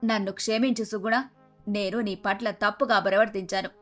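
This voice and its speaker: A woman's voice: medium pitch, steady tone, normal speed, close to an everyday voice. Emphatic older mother-in-law played by a woman. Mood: remorseful. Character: haughty older mother-in-law, fussy, later humbled. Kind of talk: Telugu animated moral story dialogue. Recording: music under the voice.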